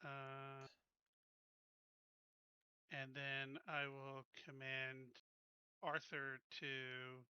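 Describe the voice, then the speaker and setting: A man's voice: medium pitch, completely flat delivery, very slow, pauses often, sharp tone, clear, resonant tone, no room sound, lo-fi sound. A man playing a tabletop roleplaying game, talking through his tactics casually.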